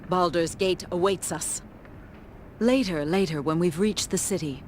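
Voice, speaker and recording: A woman's voice: on the low side, a little slow, slight background noise, close to an everyday voice. A woman playing a confident, wry traveller in a fantasy video game, with a poised and assured delivery. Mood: playful. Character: confident, wry female travelling adventurer and caravan contact. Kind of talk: video game dialogue acting.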